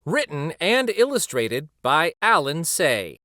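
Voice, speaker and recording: A man reading a children's picture book aloud as a storyteller, with an unhurried, expressive delivery. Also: on the high side, dry recording, studio quality.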